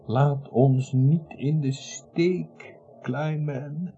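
An actor in a Dutch radio play, playing a dying doctor who speaks weakly in a faint, husky, gasping voice. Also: male voice, on the high side, fairly even intonation, extremely slow, warm tone, a little hiss or hum. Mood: failing, urgent. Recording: dull, muffled radio play recording.

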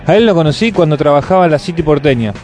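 A man's voice: fairly high pitch, quick pace, a little mellow, faint music behind, lightly acted. A man playing a stingy, self-righteous Buenos Aires businessman, indignant and blustering, in a comic radio play. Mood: smug, nostalgic. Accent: Buenos Aires Argentine. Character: grumpy stingy scheming middle-class businessman, self-righteous.

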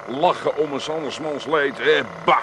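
A man's voice: fairly high pitch, steady tone, moderate pace, fairly bright tone, a little hiss or hum. An actor with an Amsterdam accent playing a nauseous, sour man with a dry, sarcastic manner in a comedy radio play. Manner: sardonic, dry. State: feeling nauseous, as acted.

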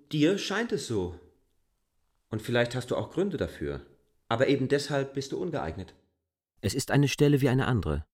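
An actor playing a coolly reasoning, insistent man who lectures deliberately and articulately in a literary radio play. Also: lowish voice, no room sound, clean studio sound. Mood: frank, weary.

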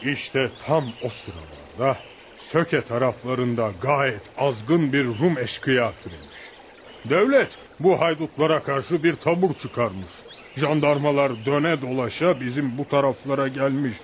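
A male actor plays a rustic village man in a radio theatre piece, with a mid-pitched voice. He tells legends of outlaws with admiration and lively folk-storyteller flair, always deferential to his listener. The recording carries a faint noise. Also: measured pace, lightly acted. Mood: reflective storytelling. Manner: animated folk storyteller, addresses listener as sir. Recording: noisy radio drama recording.

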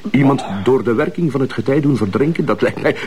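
An actor playing a musing, persistent police detective in a crime drama, with a Flemish accent. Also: male voice, medium pitch, fast, darkish tone, slight background noise, close to an everyday voice. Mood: musing, insistent.

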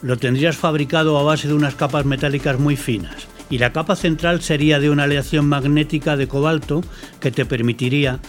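A man's voice: fairly high pitch, fairly even intonation, brisk, faint music behind. A man with an accent from Spain co-hosting and explaining things in a scripted, didactic but conversational way. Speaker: co-host commentator, explainer.

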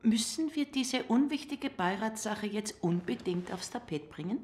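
A woman's voice: mid-range voice, lively intonation, moderate pace, darkish tone, a little hiss or hum, lightly acted. A woman acting as a prim, disapproving older lady, fussy and sarcastic, in a radio drama.

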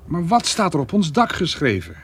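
An actor with a Netherlands Dutch accent playing a caring but strained adult son, coaxing in a radio play. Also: male voice, a little high, huge pitch swings, moderate pace, slightly rough, heavily acted. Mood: reassuring. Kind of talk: naturalistic radio drama dialogue.

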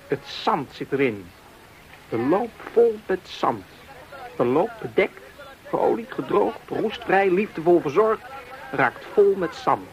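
Slow, insistent bitter soldier. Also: male voice, high-pitched, very animated, highs cut off, exaggerated voice. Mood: bitter, obsessive. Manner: insistent, repetitive phrasing. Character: bitter, obsessive male soldier tending his gun. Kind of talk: poetic radio play monologue. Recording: noisy radio play recording.

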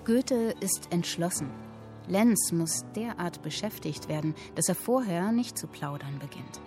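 A woman's voice: fairly low pitch, expressive, measured pace, over music. An actress playing an earnest young woman writer, speaking in a dramatic, literary radio drama style. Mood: scornful, resolute. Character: earnest young woman writing and reading her story.

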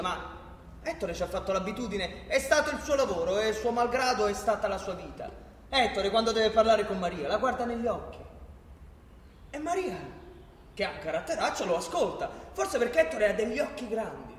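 A man with a Roman accent narrating a radio drama as a theatrical storyteller, expressive and colloquial, with dramatic pauses. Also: sits high, slight background noise. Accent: Roman Italian. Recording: echoey hall with long reverb.

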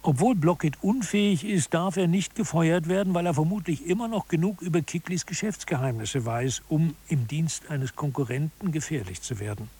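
A self-assured expert of absurd office science in a radio play. The voice is fairly high for a man and noticeably husky. He explains everything gravely, like a mock scholar giving instruction. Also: normal speed, few pauses, mellow. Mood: didactic, assured. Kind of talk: scripted audio drama lecture.

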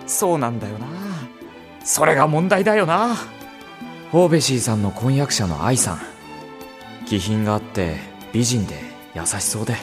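A man's voice: medium pitch, expressive, moderate pace, over music, natural voice. An actor playing an earnest, kindly teenage boy hero in a Japanese audio drama, with casual, sincere delivery. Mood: concerned. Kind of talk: Japanese visual novel audio drama acting, with narration.

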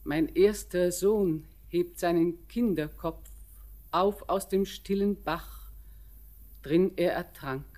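A woman's voice: fairly low pitch, a little mellow. An older woman playing a visionary, resigned grandmother in a radio drama, declaiming verse in a lingering, mournful way. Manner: declamatory, lingering, poetic delivery.

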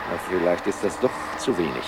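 A man's voice: deep voice, lively intonation, fairly quick. A man playing a garrulous, restless office colleague absorbed in his own chatter in a radio drama. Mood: chatty, fussing. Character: chatty, restless male colleague, fussing over gifts and prices. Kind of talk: 1970s German radio drama acting. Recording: urban street traffic noise behind voice.

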